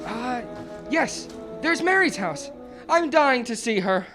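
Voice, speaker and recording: Man playing a high-voiced, proud young husband. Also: very animated, slow pace, music in the background, heavily acted. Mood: eager. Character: proud young husband, amateur boxer, quick to bristle. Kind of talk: radio drama acting.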